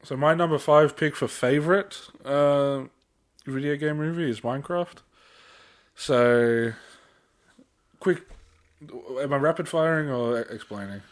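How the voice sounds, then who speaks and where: Casting: young Australian male, podcast co-host, medium pitch, relaxed slangy rambling conversational delivery. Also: a little slow. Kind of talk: casual chat.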